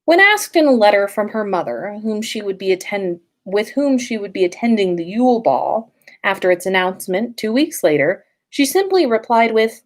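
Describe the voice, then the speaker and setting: Woman doing a casual story livestream, medium pitch, playful n expressive, kinda giggly manner. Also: moderate pace. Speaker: podcast host and story reader.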